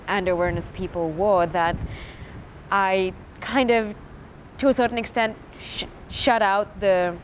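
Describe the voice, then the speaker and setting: A teenage girl from India giving a documentary interview, reflective and halting, restarting her words as she thinks. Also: lowish voice, slight background noise, poor audio. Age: teens. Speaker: student interviewee.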